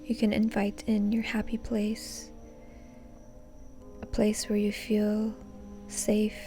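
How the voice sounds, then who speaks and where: A woman leading a guided meditation in a soft, breathy, often near-whispered voice, calm and gently instructing. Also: medium pitch, slow pace, faint music behind. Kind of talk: soothing guided meditation narration.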